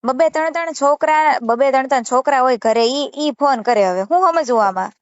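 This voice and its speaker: A woman's voice: sits high, brisk, no echo. A woman with a Saurashtra Gujarati accent arguing assertively on a phone call, scolding and repeating herself. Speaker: channel creator taking a call. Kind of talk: argumentative phone conversation. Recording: muffled phone call recording.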